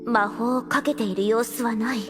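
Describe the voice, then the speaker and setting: A woman playing a scheming, excitable undead girl with affected courtly speech in an anime audio drama. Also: fairly high pitch, moderate pace, over music, close to an everyday voice. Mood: suspicious.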